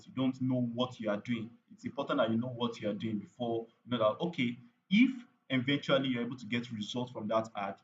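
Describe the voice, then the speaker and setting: A man's voice: medium pitch, muffled recording. A man running a live online training on paid ads, chatty and repetitive, with lots of fillers and "right?" tag questions.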